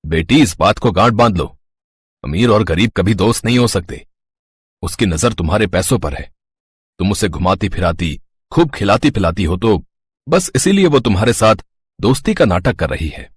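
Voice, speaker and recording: A man's voice: lowish voice, animated, moderate pace, slightly rough, no room sound, clean studio sound. A man voicing a stern, snobbish rich father who lectures his daughter in a cartoon story. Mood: scolding. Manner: lecturing, scornful of the poor. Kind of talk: Hindi cartoon voice acting.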